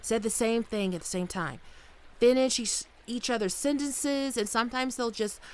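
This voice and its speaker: A woman's voice: fairly high pitch, normal speed, a little mellow, clear, resonant tone, natural voice. A woman playing an affectionate, wry bodyguard wife in an intimate, soothing ASMR roleplay. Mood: wry, uneasy. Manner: soothing, playful, storytelling. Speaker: voice actor in an audio roleplay. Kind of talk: ASMR roleplay, intimate one-sided dialogue.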